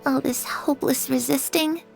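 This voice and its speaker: A woman's voice: sits high, steady tone, normal speed, gravelly, soft background music, low-quality recording. A woman playing a disillusioned young girl in a video game drama, wistful, bitter and resigned.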